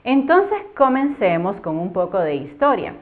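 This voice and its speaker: A Cuban woman in her thirties, telling a childhood story with earnest, expressive delivery as a YouTube educator. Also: mid-range voice, normal speed. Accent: Cuban Spanish. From Cuba. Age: 30s. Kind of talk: storytime vlog. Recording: phone-like narrow band.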